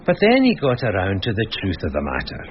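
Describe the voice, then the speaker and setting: Wry police sergeant, very animated delivery. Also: male voice, lowish voice, fairly quick. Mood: wry gossip. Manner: storytelling with wry understatement. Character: loyal, gossipy, matter-of-fact male police sergeant. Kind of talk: radio crime drama acting. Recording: muffled radio drama, faint noise.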